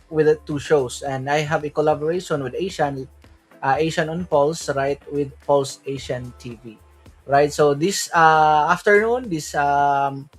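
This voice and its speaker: A man's voice: fairly high pitch, husky. A man from the Philippines with a Filipino accent, hosting a solo crypto livestream in a loose way, switching into Tagalog now and then and asking "right?" after many of his points. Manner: loose, repeats "right?" often, mixes in Tagalog.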